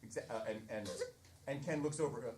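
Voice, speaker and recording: A woman improv comedian narrating comic scenes off the cuff in short piled-up phrases, live on a theatre stage. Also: rumbling low. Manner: halting, piling up short phrases. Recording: distant echoey stage recording with noise.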